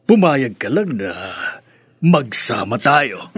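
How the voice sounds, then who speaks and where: An actor playing a smitten, coaxing suitor in a Filipino radio drama, with a Filipino accent. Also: male voice, mid-range voice, big pitch swings, slow, a bit bright, breathy edge, heavily acted. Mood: wooing, eager. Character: smitten, coaxing suitor Manolo, generous with promises.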